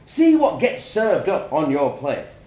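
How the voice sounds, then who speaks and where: A man voicing a stern, domineering farmer father who lectures solemnly and erupts into furious shouting. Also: fairly high pitch, wildly swooping pitch, measured pace, reverberant, voice pushed up. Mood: menacing.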